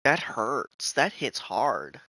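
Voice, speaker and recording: Man, game streamer, slangy, offhand, unhurried pace, forward bright tone, faint music behind. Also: medium pitch, big pitch swings, no room sound, rough recording. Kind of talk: casual gameplay commentary.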